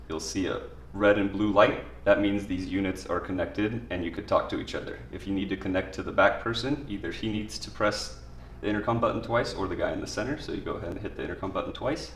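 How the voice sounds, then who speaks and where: A man's voice: fairly low pitch, a little fast. A man giving an informal, step-by-step spoken product tutorial in a plain way. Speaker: product demonstrator. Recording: echoey room, distant mic, faint noise.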